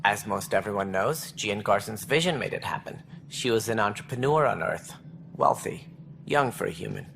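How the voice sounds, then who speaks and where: An actor playing an officious alien station director in a video game, speaking precisely and formally with a wry, earnest streak. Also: male voice, on the low side, animated, normal speed, vocal fry, fairly bright tone, a little hiss or hum. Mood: earnest, stately. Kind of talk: video game dialogue acting.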